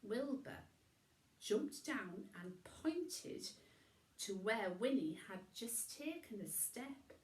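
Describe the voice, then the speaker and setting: A woman reading a children's bedtime story in an animated way, with chatty asides. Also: on the high side, takes it slowly, reverberant, poor audio.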